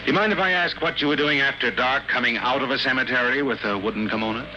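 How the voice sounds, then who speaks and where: An American actor playing a brash, wry young private detective in 1950s radio drama. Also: male voice, medium pitch, fast, sharp tone, lo-fi sound, a little hiss or hum. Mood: wry, brisk.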